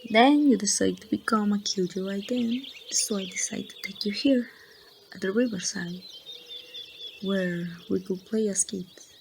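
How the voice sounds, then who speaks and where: A woman playing a grieving young woman confiding in her lost best friend in a halting, wistful ASMR roleplay monologue. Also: mid-range voice, creaky, a little mellow, a little hiss or hum. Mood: tender, nostalgic. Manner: slow, halting, wistful storytelling. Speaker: amateur voice actor.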